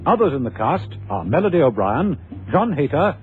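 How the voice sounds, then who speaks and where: A man's voice: on the high side, big pitch swings, normal speed, narrow, tinny audio. A man announcing a radio drama in a formal, scripted, emphatic style with dramatic delivery. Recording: thin old radio broadcast with music.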